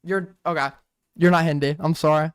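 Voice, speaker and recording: A man's voice: fairly high pitch, fairly even intonation, normal speed, clear, resonant tone, dry recording, clean studio sound. A man hosting a stream debate, pressing his points hard, repeating words and talking over the other speaker. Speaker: streamer host. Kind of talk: heated casual debate.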